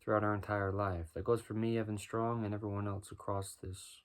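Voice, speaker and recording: A man with a deep voice doing a rhyming spoken-word freestyle, musing and preaching as he goes. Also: little pitch movement, a little fast. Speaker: freestyle musician.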